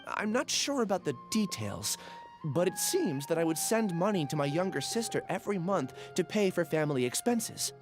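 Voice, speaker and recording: An actor playing a troubled, polite young amnesiac in a video game, hesitant, apologetic and earnest. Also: male voice, sits high, sing-song, moderate pace. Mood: uncertain. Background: game music.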